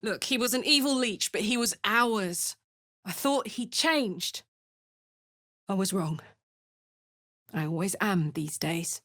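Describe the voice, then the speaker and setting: Up close and very dry, a battle-hardened woman warrior from a fantasy video game. She is unhurried and earthy, speaking bluntly and informally, with an impulsive streak and a big heart. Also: mid-range voice, animated, fairly bright tone, dry recording. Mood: regretful. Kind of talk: video game character voice acting. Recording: dry close studio recording.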